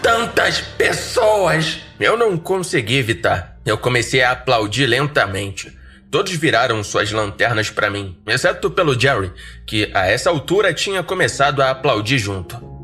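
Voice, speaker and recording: Horror podcast narrator, male, Brazilian accent, mid-pitched, expressive swings, dry wit, dramatic storytelling. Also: moderate pace, faint music behind. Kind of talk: dramatic first-person scripted storytelling.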